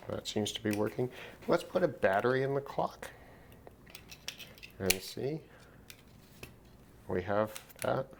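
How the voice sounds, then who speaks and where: A man casually narrating a hands-on repair demonstration, thinking aloud in an unhurried way as he works. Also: on the low side, lively intonation, gravelly, noisy background. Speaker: repair video host.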